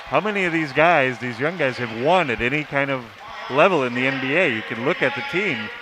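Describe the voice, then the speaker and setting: A man's voice: mid-range voice, expressive, fairly quick. A man chatting casually as a sports writer on a panel, repeating phrases and posing rhetorical questions. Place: large indoor arena. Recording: noisy arena ambience behind.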